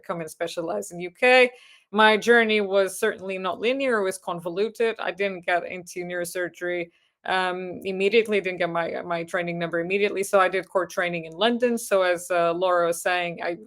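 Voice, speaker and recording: A woman in her thirties from Portugal, a neurosurgery registrar and lecturer, giving a webinar talk in English with a Portuguese accent, often pausing on fillers and restarting phrases. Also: medium pitch, fairly bright tone. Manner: hesitant, many fillers and restarts, earnest.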